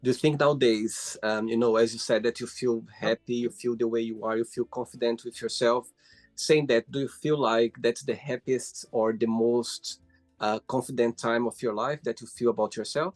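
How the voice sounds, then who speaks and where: A man hosting a casual interview over a call, asking friendly, halting questions with many fillers. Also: mid-range voice, steady tone, darkish tone, dry recording, faint music behind. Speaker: host.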